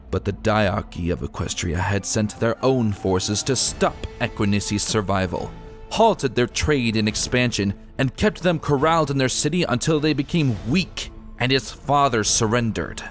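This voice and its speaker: A man giving a solemn, theatrical dramatic reading of a scripted story. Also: medium pitch. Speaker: narrator. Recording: music behind the voice. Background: background music.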